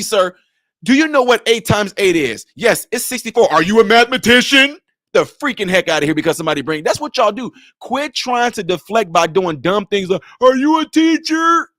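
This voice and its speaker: Emphatic high-voiced male commentator. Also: no room sound, clean studio sound. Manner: animated, emphatic, rhetorical questions. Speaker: commentator reacting to a video clip. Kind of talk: casual live-stream commentary.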